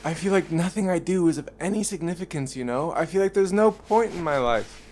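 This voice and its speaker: A man playing an earnest, unsure young man in an audio drama, speaking haltingly. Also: a little high, a little mellow, natural voice. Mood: despairing. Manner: hesitant, halting delivery.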